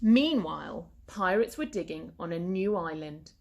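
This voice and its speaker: Animated woman reading a children's story slowly. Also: lowish voice, gravelly. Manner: animated, deliberate. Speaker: primary school teacher reading aloud.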